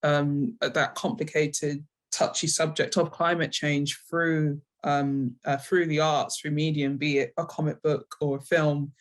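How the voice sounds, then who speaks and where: A woman's voice: deep voice, flat delivery, a little slow, few pauses. A woman from London with a London accent, answering interview questions in a hesitant, reflective way and often rewording herself. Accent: London English. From London, England. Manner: hesitant, reflective, self-correcting. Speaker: interviewed guest, comic book writer.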